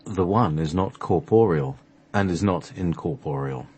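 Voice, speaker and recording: Deep husky man reciting solemnly. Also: animated, unhurried, mellow. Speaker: radio show host reading a scripted play. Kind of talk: solemn, measured recitation of a mystical text.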